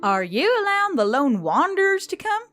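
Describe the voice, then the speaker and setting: Woman acting a lively, confident female soldier. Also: high-pitched, brisk, faint music behind. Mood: breezy, confident. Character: breezy, confident woman soldier, company commander. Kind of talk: audiobook character acting.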